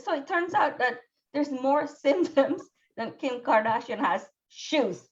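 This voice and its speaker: A woman with a fairly high voice hosts a live online talk show. She is effusive and chatty as she presents and interviews. The sound is somewhat dull and lo-fi, like a web stream. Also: lively intonation, unhurried.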